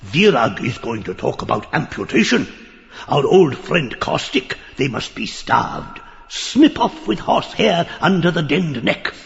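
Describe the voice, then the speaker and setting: A man playing a grotesque, parrot-like old pedant, leering and excitable, with a nasal croak and a pompous lecturing manner in a theatrical audiobook play. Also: on the high side, a little slow, long reverb tail, dull audio, exaggerated voice. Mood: excitable, insistent. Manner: pompous learned lecturing, full of crowing outbursts. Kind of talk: theatrical audiobook acting of a surreal stage play.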